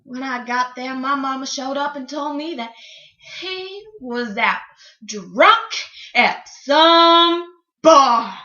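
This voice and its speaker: A woman performing a dramatic monologue as a chatty, wistful country singer, telling her story in a folksy, theatrical way with hesitant pauses. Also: high-pitched, lively intonation, sharp tone, full character voice. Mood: wistful, troubled. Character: wistful, chatty female country singer, dreamy yet defiant. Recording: roomy, slightly dull recording.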